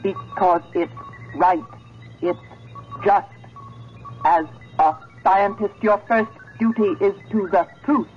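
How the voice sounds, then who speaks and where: An actress playing a coldly menacing, sentient female computer in a 1970s radio drama, speaking slowly, deliberately and imperiously. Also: medium pitch, faint music behind, lightly acted. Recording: dull vintage radio drama recording.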